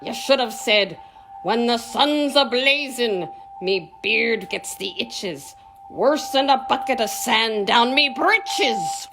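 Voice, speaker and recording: A blustering storybook pirate captain, played by a woman, hard to please and fond of salty slang. The words are stretched out slowly and swing up and down, over prominent marimba music. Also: fairly high pitch, lively intonation. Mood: scolding. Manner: hollering, drawn-out pirate slang. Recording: marimba and xylophone music behind.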